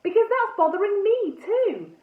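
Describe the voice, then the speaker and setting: A woman's voice: extremely high pitch, very animated, normal speed, voice pushed up. A woman voicing a polite, nervous young girl in a children's story, hesitant but eager. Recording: phone-like thin sound, roomy echo.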